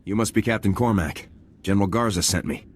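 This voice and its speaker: A man voices a special forces officer in a video game. His voice is fairly low, and he speaks in a clipped, formal, businesslike way. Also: fairly quick, a little hiss or hum, natural voice. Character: businesslike special forces lieutenant, terse and dutiful.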